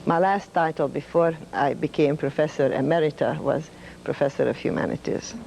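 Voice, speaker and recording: Older Hungarian-accented woman, retired professor, gravelly, measured reminiscing. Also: low-pitched, a little hiss or hum, poor audio. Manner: measured, with fillers and soft laughs. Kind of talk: reflective storytelling.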